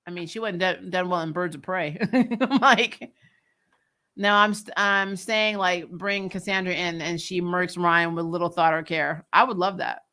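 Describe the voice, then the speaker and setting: A woman with a somewhat low voice hosts a casual recap show. She talks off the cuff like a fan answering viewers, blunt and opinionated. Her manner is exasperated and freely sweary. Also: fairly even intonation, a little slow, pushed and bright. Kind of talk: casual opinionated fan commentary, replying to live comments.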